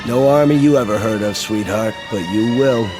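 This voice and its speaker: A man's voice: fairly low pitch, normal speed, music in the background, natural voice. A man acting in a radio drama as the confident, eager right-hand man of a fanatical leader, urging and assured.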